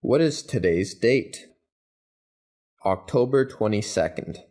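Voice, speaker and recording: A man reading English practice questions and answers, each said twice in an even, deliberate way. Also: fairly low pitch. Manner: even, deliberate, carefully spaced delivery. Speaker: English teacher. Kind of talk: slow scripted phrase drills, each said twice.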